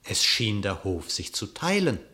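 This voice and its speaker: Deep solemn male narrator. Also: big pitch swings, a little slow, sharp tone. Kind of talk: epic verse narration, radio play.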